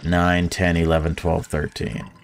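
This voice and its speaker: A man's voice: low-pitched, monotone, unhurried, creaky, a little hiss or hum. A man reading visual novel story text aloud and adding casual commentary as a gaming video creator.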